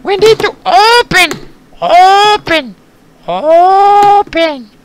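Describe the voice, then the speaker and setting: A performer voicing an excitable, hungry, impatient pet dog in a comic skit, pleading in frantic, repeated exclamations. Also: extremely high pitch, extremely slow, heavily acted. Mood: desperate, frantic. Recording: noisy recording with loud clicks.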